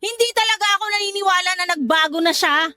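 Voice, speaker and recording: A woman's voice: extremely high pitch, quick pace, no room sound. An actress playing an outspoken, loyal young sister in an audio drama, who scolds her brother bluntly, comforts him tenderly, and narrates her own letter. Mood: scolding. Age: young adult. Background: soft music under dialogue, louder music under narration.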